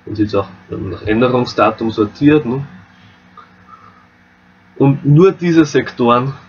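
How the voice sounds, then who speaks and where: A man with an Austrian accent walking viewers through a game map editor, relaxed and explaining step by step. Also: mid-range voice, slow pace, slightly rough, dull audio. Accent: Austrian German. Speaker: modding tutorial host. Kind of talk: screen-recorded software tutorial.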